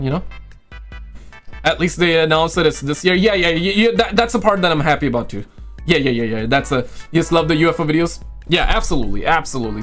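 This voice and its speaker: A man with a fairly high-pitched voice talks casually to his livestream viewers. He rambles in loose slang and often repeats himself, his voice a little worn as if it is going. Music plays faintly behind him. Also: fairly bright tone. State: losing his voice. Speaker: livestream host and video creator.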